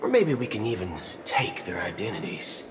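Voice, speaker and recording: A man's voice: fairly low pitch, wildly swooping pitch, normal speed, noisy background. A man voicing a hot-tempered cyborg gunslinger who swaggers, mocks and swaps his curses for mild words. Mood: scheming. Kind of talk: video game voice acting. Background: game music.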